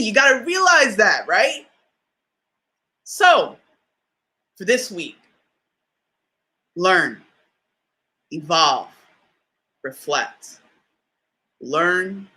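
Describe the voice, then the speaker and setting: A woman with a deep voice giving a conversational motivational talk, stressing key lines by repeating them and often checking in with the listener. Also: very animated, very slow, long pauses, pushed and bright. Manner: emphatic, repeats key phrases for effect; conversational, often asks "you know what I mean?". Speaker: motivational speaker.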